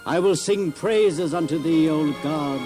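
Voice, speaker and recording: An actor playing a gentle, patient, devout prophet and leader in an earnest, deliberate audio drama style. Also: male voice, a little high, over loud music, close to an everyday voice. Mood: reverent praise.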